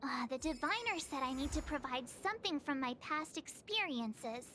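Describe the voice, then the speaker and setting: A woman playing a bubbly, curious and naive teenage girl in an anime-style video game, expressive and girlish. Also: high-pitched, moderate pace, slight background noise. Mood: puzzled.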